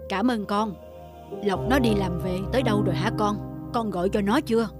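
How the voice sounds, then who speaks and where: A woman voicing a gentle, wise elderly mother in a cartoon, speaking softly and steadily. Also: medium pitch, fairly quick, close to an everyday voice. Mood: kindly. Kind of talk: cartoon voice acting. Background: music.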